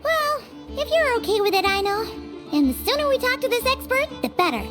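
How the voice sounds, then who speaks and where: A woman voicing a small, excitable, naive fairy companion for a video game, with a squeaky childlike voice and exclamatory delivery. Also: brisk. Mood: reluctant. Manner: exclamatory, reacts openly. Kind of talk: English video game dub voice acting. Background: game music.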